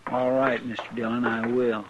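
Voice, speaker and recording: An actor playing a loyal, fretful deputy sidekick, who speaks in an anxious, deferential drawl, in 1950s radio drama style. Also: male voice, mid-range voice, flat delivery, normal speed, natural voice. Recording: dull, noisy old radio recording.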